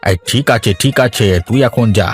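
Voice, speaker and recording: A man's voice: medium pitch, moderate pace, slightly rough, faint music behind, natural voice. A man playing a blustering village busybody. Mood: affronted. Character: grumbling, loyal Bengali village busybody man. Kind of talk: animated folk-tale cartoon dubbing.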